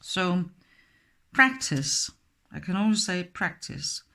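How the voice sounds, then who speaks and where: Slow-talking woman with a Danish accent. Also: lowish voice, animated, pushed and bright. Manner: slow, hesitant, apologetic, with many fillers. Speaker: craft tutor. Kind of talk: step-by-step craft tutorial.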